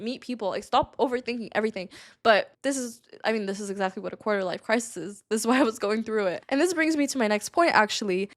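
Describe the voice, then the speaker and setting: Twenty-something woman oversharing to camera, chatty, lots of "like"s. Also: mid-range voice. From Latvia. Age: 20s. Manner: chatty, confiding, many "like"s, brief laughs. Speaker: vlogger. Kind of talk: candid personal chat to camera.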